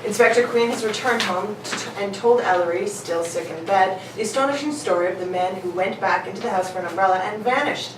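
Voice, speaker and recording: A woman's voice: medium pitch, brisk, few pauses. A woman reading out scripted scene directions as narrator of an amateur radio play. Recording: distant mic, echoey room, clear noise.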